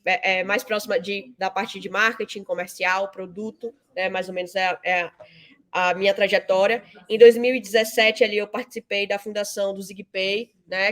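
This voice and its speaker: A woman, giving conversational, explanatory interview answers peppered with tag questions. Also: mid-range voice. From Salvador, Bahia, Brazil. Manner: frequent 'né' tags, explanatory. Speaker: interview guest, fintech founder and CEO.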